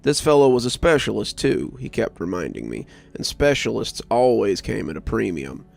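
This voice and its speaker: A man reading a scripted first-person story as a narrator, in a measured, expressive storytelling manner. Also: fairly low pitch, creaky, faint music behind.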